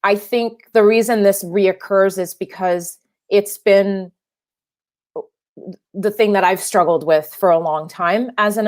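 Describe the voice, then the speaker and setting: A woman's voice: medium pitch, a little mellow. A young adult woman filmmaker whose first language is French, answering interview questions thoughtfully, with many restarts and fillers. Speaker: filmmaker guest.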